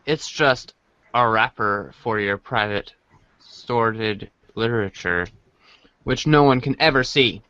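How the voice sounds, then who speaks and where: A man's voice: lowish voice, slow, pushed and bright, smooth, no echo, highs cut off. A man reading a comic story aloud as part of a podcast reading group. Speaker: podcast reader. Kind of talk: dramatic reading of a webcomic aloud.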